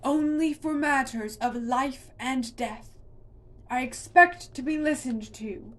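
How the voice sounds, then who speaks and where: A woman's voice: unhurried, mellow. A woman reading aloud, voicing a towering ancient tree monster that roars and declaims. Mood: stern. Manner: booming, declaiming. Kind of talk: storybook reading.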